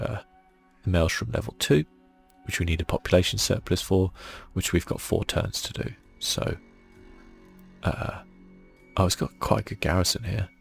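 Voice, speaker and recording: A man giving soft-spoken ASMR commentary on a video game in a murmured, laid-back way, as if thinking aloud. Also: low-pitched, creaky, breathy edge. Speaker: gaming channel host. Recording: faint music behind.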